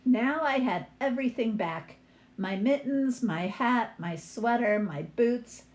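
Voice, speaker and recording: A woman's voice: fairly high pitch, expressive. A woman reading a picture book aloud as a teacher would, with explanatory asides to young listeners. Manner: unhurried, explanatory.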